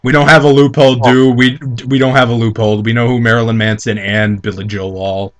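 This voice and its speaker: A man's voice: medium pitch, fairly even intonation, brisk. A young adult man co-hosting with casual, joking commentary, interjecting and repeating himself with some swearing. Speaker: co-host commentator.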